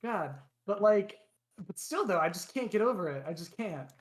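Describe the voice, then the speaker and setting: A man's voice: sits high, normal speed. A young man co-hosting a casual podcast, telling stories in a rambling way full of restarts and fillers. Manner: animated storyteller. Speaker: podcast co-host. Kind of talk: casual chat.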